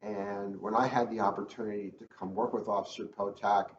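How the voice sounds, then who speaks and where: A man with a fairly low voice talks casually and earnestly, stressing points by repeating them. The recording is echoey and dull, with a faint layer of noise. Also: steady tone, fairly quick. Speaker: police school resource officer. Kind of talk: casual, earnest talk to children. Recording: roomy, muffled, faintly noisy.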